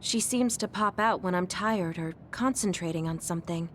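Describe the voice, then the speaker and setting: An actress playing a gentle, polite and self-effacing young woman in a video game. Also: fairly low pitch, moderate pace, a little hiss or hum, natural voice. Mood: thoughtful, reassuring. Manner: polite, apologetic.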